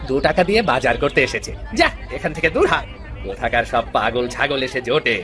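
A man's voice: medium pitch, big pitch swings, fairly quick, fairly bright tone, faint music behind, a faint crowd. A man playing a brusque village market seller in a cartoon folktale, mocking and bullying. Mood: scornful, threatening. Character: gruff, scornful village market fishmonger, quick to threaten. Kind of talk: exaggerated fairy-tale cartoon acting.

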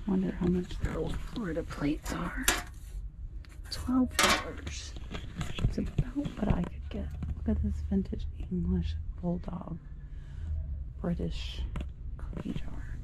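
A woman quietly narrating her shopping trip for a reseller vlog, half whispering as she browses an antique mall. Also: lowish voice, slow, pauses often, rough recording. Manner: hushed, half-whispered remarks while browsing. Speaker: reseller vlogger. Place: indoors in a large antique mall. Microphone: body-worn action camera.

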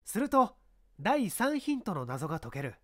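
男が演じる抑揚豊かで自信満々の警部. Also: male voice, sits high, very animated, normal speed. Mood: confident, explanatory. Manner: emphatic, explanatory, rhetorical. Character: confident male police inspector expounding his murder deductions. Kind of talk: dramatised detective story reading.

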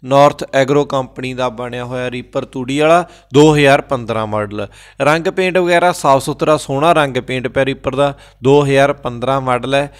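A man with a Punjabi accent hosting a farm-machinery sales channel, briskly reading listings and repeating prices and phone numbers. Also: mid-range voice. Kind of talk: classified sales rundown voice-over. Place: voice-over, not recorded at the pictured sites.

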